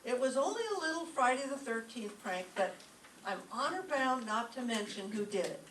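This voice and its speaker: Dry, quick-witted female teacher, acted by a woman, radio comedy delivery, heard at a distance in a roomy space. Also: on the high side, expressive, a bit bright. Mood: dry, evasive. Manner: dry, wisecracking. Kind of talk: old-time radio comedy acting. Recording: echoey stage, distant mic, faint noise.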